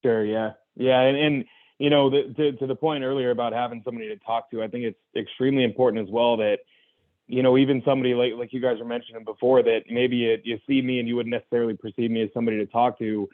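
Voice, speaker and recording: A man's voice: medium pitch, level tone, fast, dry recording. A young adult man, a guest, telling his own story candidly in conversation, earnest and reflective, with many restarts and "you know"s. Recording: tinny phone-like line.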